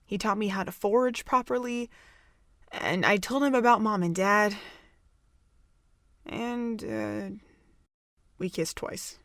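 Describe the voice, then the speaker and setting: A woman acts a guarded young female student in an audio drama. She speaks slowly and hesitantly at a middling pitch, recorded close and dry. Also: fairly bright tone, dry recording. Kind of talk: amateur audio drama acting.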